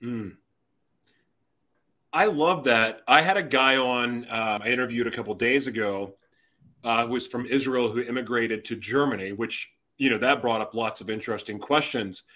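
A man's voice: medium pitch, normal speed, sharp tone. A man hosting an interview, enthusiastic and effusive with praise. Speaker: interview host. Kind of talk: casual interview chat.

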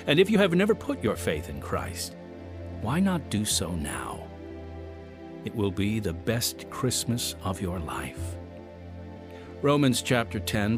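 A man hosting a radio program, reading scripted narration in an earnest, measured manner that addresses the listener directly. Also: lowish voice. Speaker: radio program host and announcer. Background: music.

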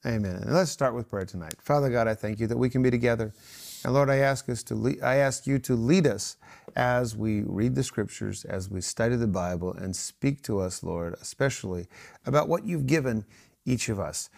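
Man hosting a Bible study, mid-pitched. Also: normal speed, gravelly. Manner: warm, affirming, says amen often. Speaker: host leading a Bible study group. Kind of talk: friendly church-show hosting and prayer. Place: studio.

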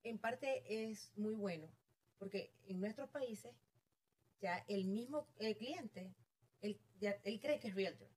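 A woman's voice: mid-range voice, takes it slowly. Venezuelan woman telling her story. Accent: Venezuelan Spanish. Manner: storytelling, animated, self-amused. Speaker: real estate agent guest. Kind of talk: casual interview chat.

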